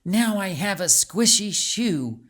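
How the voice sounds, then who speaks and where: A man's voice: high voice, expressive, slow pace, a bit bright, pitched above the performer's own voice, full character voice. A man voicing a timid, anxious young girl who tends to complain, in an audiobook. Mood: annoyed. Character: timid young girl, nervous and easily bothered. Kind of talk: audiobook character voice.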